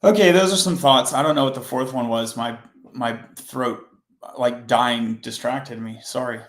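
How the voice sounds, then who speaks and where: A man hosting a coding livestream, chatting casually and rambling with self-corrections, his voice hoarse and fading. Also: mid-range voice, a little slow, roomy sound.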